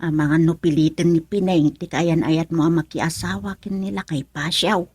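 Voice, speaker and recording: A woman's voice: low voice, fairly even intonation, moderate pace, a little mellow, breathy edge, dry recording. An actress with a Filipino accent playing a nagging, scheming mother in a radio drama. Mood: pushy, insistent. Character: nagging, scheming mother pushing her son to marry.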